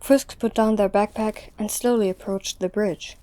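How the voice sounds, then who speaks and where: A woman's voice: medium pitch, steady tone, moderate pace, dark tone, no echo. A woman narrator reading scripted story narration for an audio drama.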